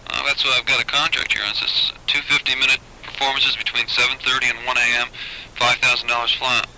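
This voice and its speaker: Male booking agent, very sharp forceful tone, hesitant delivery, vintage phone recording with faint noise. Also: mid-range voice, level tone, quick pace. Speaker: booking agent on a business call. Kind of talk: business phone conversation. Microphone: telephone. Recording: noisy old taped phone call.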